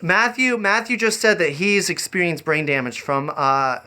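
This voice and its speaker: A man's voice: fairly high pitch, normal speed, pushed and bright, clear, resonant tone. A man hosting a casual improvised livestream, rambling and easily distracted, often repeating his phrases as he goes. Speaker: live-streaming host.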